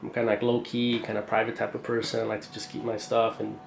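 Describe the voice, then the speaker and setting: A young man with a stuffy, congested voice casually rambling through an unboxing, full of fillers and slangy excitement. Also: on the low side, fast talker, gravelly, soft background music. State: congested from a cold. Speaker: knife collector reviewing a purchase. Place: indoor room, camera over a tabletop. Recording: roomy echo, faint background hiss.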